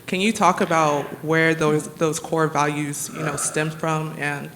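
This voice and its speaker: A reporter asks a polite, slightly hesitant question at a press conference, in a level, mid-pitched voice with little movement. Faint noise sits under the voice. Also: flat delivery, measured pace, fairly bright tone. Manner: polite, hesitant, filler-heavy.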